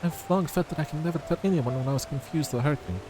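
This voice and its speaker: A man's voice: a little high, fast, noisy background, natural voice. A man voicing a proud, weary young woman with a claim to a throne in a fantasy game. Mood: earnest, vulnerable.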